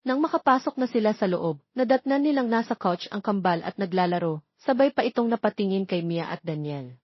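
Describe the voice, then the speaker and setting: A woman's voice: mid-range voice, animated, brisk, breathy edge, dry recording, lo-fi sound. A woman narrating a scripted romance story as an audiobook reader.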